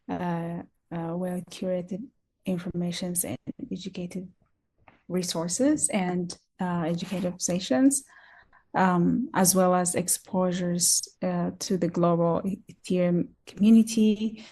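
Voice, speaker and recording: Soft-spoken, halting woman from Ethiopia. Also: on the low side. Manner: hesitant, many ums and restarts. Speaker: podcast guest. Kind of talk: casual interview talk.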